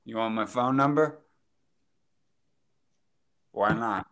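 A man's voice: on the low side, takes it slowly. A man playing a rowdy, drunk young college guy who mocks and jeers, in a table read over a video call. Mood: menacing mockery. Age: young adult. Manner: loud, mocking, jeering. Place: indoor room, video call. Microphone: video call, computer mic.

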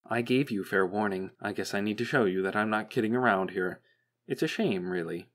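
Audiobook machine villain, male reader: female overseer, paranoid, sharply condescending, low-pitched menace. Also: brisk, a bit bright. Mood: cold menace.

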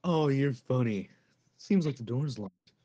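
A man's voice: mid-range voice, lively intonation, unhurried, dark tone, no room sound, dull audio. A man voicing a mischievous cartoon rabbit in a fandub, casual, teasing and smug.

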